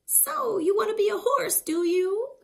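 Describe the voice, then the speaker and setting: A woman voicing a gleeful little female witch in a storybook, with a high, screechy voice. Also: lively intonation, unhurried, gravelly, full character voice. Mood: coaxing. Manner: sing-song chanting of rhymes.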